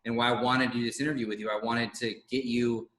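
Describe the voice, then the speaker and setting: A man's voice: mid-range voice, level tone, fast, fairly bright tone. A young man in his twenties co-hosts an online interview, talking with lots of energy and hyping up his guest. His voice rings with noticeable room echo. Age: 20s. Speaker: co-host interviewer. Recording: roomy echo.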